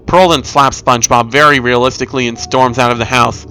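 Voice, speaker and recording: Male narrator, bright forceful voice, scripted first-person horror tale, average pitch, music behind. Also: steady tone, fairly quick, rough recording.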